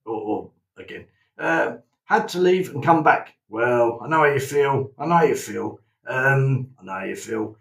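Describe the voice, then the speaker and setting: A man's voice: medium pitch, vocal fry, a bit bright. A man hosting a live stream, chatting in a rambling way full of ums and restarts. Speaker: host. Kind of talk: live-stream chat with callers. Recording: echoey room on a live stream.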